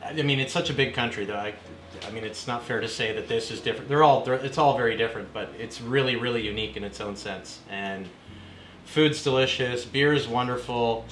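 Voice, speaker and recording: A man in his forties from Canada, telling stories in a relaxed conversational way with plenty of fillers, as an interview guest in an apartment. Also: medium pitch, a little fast, roomy sound, a little hiss or hum, rough recording. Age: 40s. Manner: relaxed storytelling with frequent fillers. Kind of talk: casual conversation. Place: apartment room.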